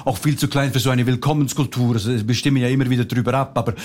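A man's voice: mid-range voice, fairly even intonation, very fast, darkish tone. A man, answering dryly and hesitantly, sometimes rambling while he explains. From Switzerland. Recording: noisy recording.

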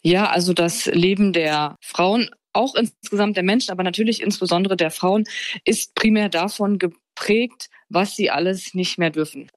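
A woman's voice: fairly low pitch, moderate pace, dry recording. A woman journalist from Germany, giving interview answers in a thoughtful, explaining way with some fillers. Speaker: freelance journalist, guest.

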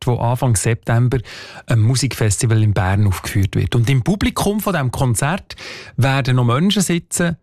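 A man's voice: mid-range voice, darkish tone, no room sound, studio quality. A man with a Swiss German accent presenting on the radio, moving between programme introductions and service announcements. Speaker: radio host. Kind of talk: radio presenting and traffic bulletin.

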